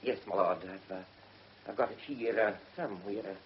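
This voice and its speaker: An actor playing a rambling, evasive witness, hesitant and chatty, in a radio drama. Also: male voice, fairly low pitch, moderate pace, lightly acted. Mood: flustered, rambling. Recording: muffled, hissy old radio recording.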